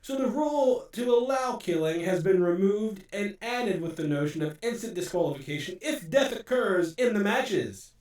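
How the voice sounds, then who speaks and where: A man playing an excitable, theatrical and scatterbrained tournament announcer in an animated drama. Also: high-pitched, moderate pace, hardly any pauses, rough recording. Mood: dry aside. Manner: theatrical, showy.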